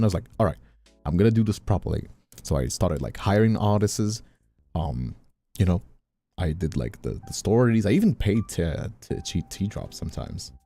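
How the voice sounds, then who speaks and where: A man's voice: deep voice, gravelly, dark tone. A man streamer talking casually on a livestream, rambling and emphatic, repeating phrases as he goes, close on a studio microphone. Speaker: streamer and host. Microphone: close studio condenser microphone.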